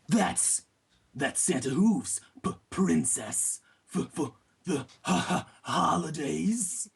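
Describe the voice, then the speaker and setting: A man's voice: a little high, lively intonation, slow, creaky, breathy edge, heavily acted. A man voicing a frightened pony store cashier who stammers nervously, in an amateur story reading. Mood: frightened, stammering.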